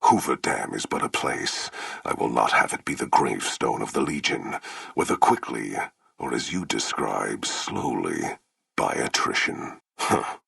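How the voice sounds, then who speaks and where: Menacing warlord boss from a game, super gravelly and solemn, really dry close sound, talks like he commands armies. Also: very animated, a little slow, fairly bright tone, no room sound, full character voice. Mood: grave, calculating. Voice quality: gravelly, husky, rumbling. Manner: solemn, commanding, formal and portentous speech. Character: towering, menacing male warlord commander, proud, grim, honor-bound. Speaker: video game voice actor. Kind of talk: theatrical video game villain acting.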